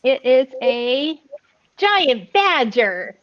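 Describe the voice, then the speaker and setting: A woman chats casually as a player in an online tabletop game. Her voice is fairly high, with a close, dry and slightly muffled sound. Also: sing-song, drawn-out words, fairly bright tone, dry recording. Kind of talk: casual chat during a game.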